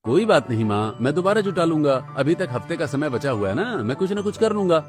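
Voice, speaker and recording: A man's voice: a little high, sing-song, fast, music in the background, pitched above the performer's own voice. A man voicing a poor, devoted young working brother in a cartoon story, earnest, reassuring and affectionate. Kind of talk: Hindi cartoon story dialogue.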